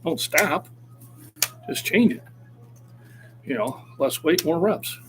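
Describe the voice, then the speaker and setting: A man's voice: on the high side, lively intonation, takes it slowly, noisy background. A man in his sixties, a fitness coach talking casually on a livestream and urging viewers to keep active. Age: 60s. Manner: encouraging, motivational.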